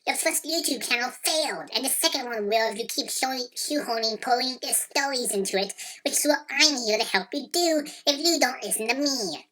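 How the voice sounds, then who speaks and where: Spiteful female heckler, acted by a woman for a web skit: highish, sharp, taunting and menacing. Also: lively intonation, brisk, few pauses, low-quality recording. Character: spiteful, threatening female intruder heckling the host. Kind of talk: scripted amateur web skit.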